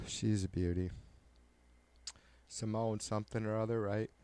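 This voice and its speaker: A man with a raspy, nasal voice, rambling profanely and jokingly on his livestream. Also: lowish voice, steady tone, slow, mellow. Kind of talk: casual rambling livestream chat.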